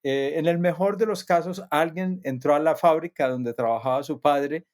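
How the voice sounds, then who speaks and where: A man's voice: on the high side, normal speed, darkish tone. Thoughtful Colombian man. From Colombia, near Medellín. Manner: thoughtful, frequent hesitations and restarts. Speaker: guest, psychotherapist and actor. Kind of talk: reflective personal storytelling.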